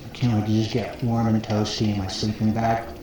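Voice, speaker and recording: A man's voice: lowish voice, monotone, a little fast, a loud crowd, lightly acted. A man playing a weary lone survivalist, talking casually and hesitantly in an understated found-footage horror video log. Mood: tired, musing. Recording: echoey, very noisy found-footage audio.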